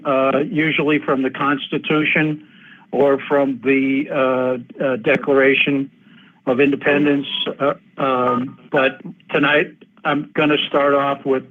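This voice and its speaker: A man moderating a group conference call, speaking in a halting, procedural, informal way. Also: medium pitch. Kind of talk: informal, halting meeting talk. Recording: tinny, phone-line group conference call.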